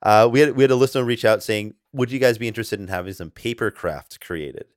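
A man's voice: lowish voice, quick pace, no echo, studio quality. A man co-hosting a casual fantasy hockey podcast, rambling with lots of fillers and restarts. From half Japanese. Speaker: podcast co-host.

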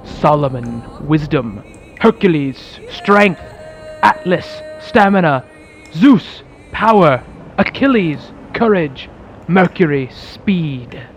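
A man's voice: fairly high pitch, lively intonation, takes it slowly, dull audio, faint music behind. A man narrating a dramatic old-time radio serial in a theatrical announcer's manner. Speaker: podcast host and narrator.

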